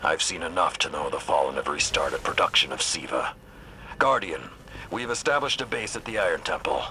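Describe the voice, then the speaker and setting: An actor playing a stern, battle-worn old warrior lord in a video game, speaking in a grave, formal, commanding way. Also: male voice, deep voice, expressive, a little fast, bright, forceful tone, slight background noise, full character voice, low-quality recording. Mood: grave, firm. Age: older. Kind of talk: dramatic video game dialogue.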